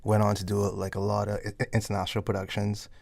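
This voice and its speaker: A man speaks in a low, gravelly voice with very little rise and fall. He tells his story at an easy, relaxed pace as a radio guest. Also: quick pace. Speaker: musician and producer guest. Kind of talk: casual radio interview.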